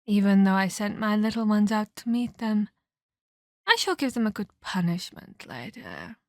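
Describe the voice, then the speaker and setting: A woman playing a haughty, menacing vampire elder in a scripted ASMR roleplay, speaking in a deliberate, taunting, condescending way with formal phrasing. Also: mid-range voice, very animated, dry recording, clean studio sound. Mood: scornful.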